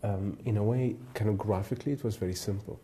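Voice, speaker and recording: Guy from iraq, deep mellow voice, thinks out loud, lots of uh and you know. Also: slight background noise. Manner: thoughtful, halting, many fillers and restarts. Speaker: photographer and jury member. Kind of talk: reflective interview answers.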